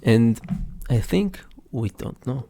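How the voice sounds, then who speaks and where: A man with an Arabic accent co-hosting a casual podcast discussion, speaking halting English and searching for words with many fillers. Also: mid-range voice, expressive, gravelly, warm tone. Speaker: podcast co-host.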